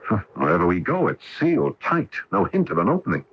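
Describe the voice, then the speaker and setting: An actor playing a bewildered, curious astronaut husband in a radio drama, excitable and halting when startled. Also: male voice, deep voice, slight background noise. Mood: curious, eager. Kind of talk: 1980s radio drama acting. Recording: dull lo-fi AM radio broadcast.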